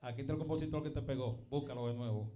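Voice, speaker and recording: A man's voice: mid-range voice, rapid-fire. A man hosting a studio talk show, asking questions and joking around with his guest over a handheld mic. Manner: chatty and teasing, jumps in with questions. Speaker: TV show host. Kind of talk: interviewing, casual chat. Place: TV studio set.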